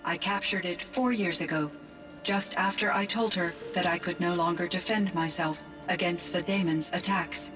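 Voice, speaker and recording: A woman playing a gentle, thoughtful artificial intelligence in a video game, speaking in measured, formal phrases. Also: lowish voice, fairly even intonation, close to an everyday voice. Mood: composed, formal. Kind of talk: scripted video game dialogue. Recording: music behind the voice.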